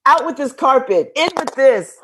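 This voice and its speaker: A woman hosting a casual interview show, chatty and quick to laugh as she asks questions. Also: high-pitched, animated, crowd noise. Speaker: talk show host.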